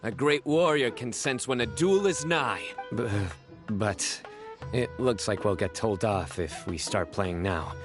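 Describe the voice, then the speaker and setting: A man voicing a solemn young warrior in an anime-style video game, formal, deadpan and grandiose. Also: on the low side, a little slow. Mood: eager, theatrical. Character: Cyno, solemn theatrical young male warrior. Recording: game music behind the voice.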